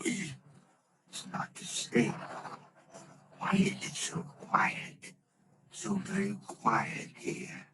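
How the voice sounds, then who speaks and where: An actor playing a frightened old miser who pleads with a ghost in trembling, often whispered lines, in old-time radio drama style. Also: male voice, high voice, expressive, drawn-out words, pauses often, noisy background, heavily acted. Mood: fearful pleading. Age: older. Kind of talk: 1940s radio acting.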